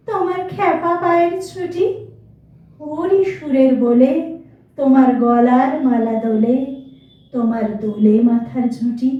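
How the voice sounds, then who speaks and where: A woman reciting a poem expressively, with theatrical, measured, lilting phrasing. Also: sits high. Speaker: poetry reciter. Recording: echoey room, distant muffled mic.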